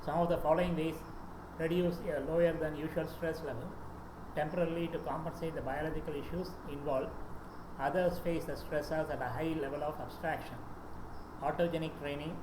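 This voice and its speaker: A man with an Indian English accent, a native Tamil speaker, gives a lecture with a slightly higher pitch than most men. He works through lists of points, pausing on fillers and restarting words. The recording is roomy and echoey, with a steady background noise. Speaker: presenter. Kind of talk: lecture presentation.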